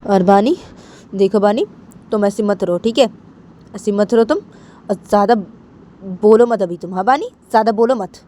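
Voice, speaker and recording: A woman's voice: medium pitch, moderate pace, long pauses, dark tone, a little hiss or hum, pitched above the performer's own voice, lightly acted. A woman voicing a disapproving uncle in a family story, admonishing firmly and repetitively.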